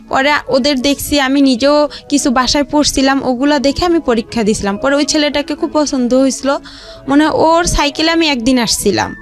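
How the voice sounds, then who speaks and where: A woman telling her life story informally as an interview guest, rambling along step by step. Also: high-pitched. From Rangpur region, Bangladesh. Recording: music behind the voice. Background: background music.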